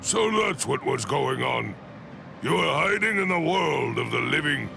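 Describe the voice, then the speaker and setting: A grave captain with a heavily creaky, slightly raised voice. He speaks formally and tersely, stern throughout the anime game scene. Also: on the high side, animated, unhurried, exaggerated voice. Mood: grave, questioning. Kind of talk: anime game cutscene dialogue. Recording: dialogue over clear background music.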